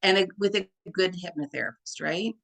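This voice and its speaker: A woman with a deep, full voice, talking as a hypnotherapist guest in a casual interview, chatty and full of "like" and "right?". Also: a little fast, gravelly, fairly bright tone. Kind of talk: casual conversation.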